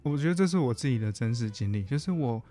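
A man's voice: mid-range voice, animated, quick pace, dark tone, faint music behind. A man with a Taiwanese accent talking reflectively as a guest counselor in a casual interview, often rephrasing his points.

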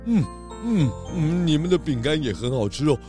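A calm pig detective who speaks patiently and kindly, voiced by a performer. Mid-range voice, with music running underneath. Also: mid-range voice, moderate pace, close to an everyday voice. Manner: warm, patient, reassuring. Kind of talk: children's radio drama. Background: background music.

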